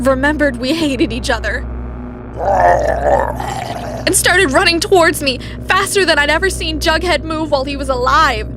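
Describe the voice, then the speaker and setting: Veronica, a snooty rich teen girl, voiced by a woman. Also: high voice. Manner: sarcastic, dramatic. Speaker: narrator. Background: music.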